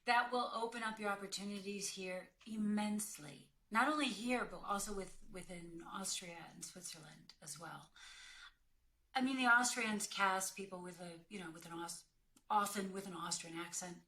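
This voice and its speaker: A woman with a bright, forceful voice of average pitch talks as an acting coach in a question-and-answer conversation. She is direct and emphatic, laying out her advice plainly. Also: normal speed, poor audio. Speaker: acting career coach and working actor.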